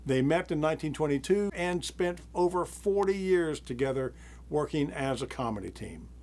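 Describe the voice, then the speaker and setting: A man hosting a live theater broadcast, introducing the next act in a relaxed, informative way. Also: on the high side, moderate pace. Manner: relaxed, informative, small laughs. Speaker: theater host. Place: studio.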